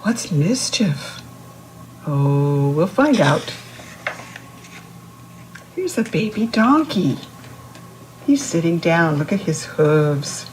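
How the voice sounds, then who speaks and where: Woman, children's read-aloud host, slow pace, lowish pitch, instructive and questioning. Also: big pitch swings, slight background noise. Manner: instructive, asks the listener questions and explains words. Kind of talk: reading a picture book aloud to young children.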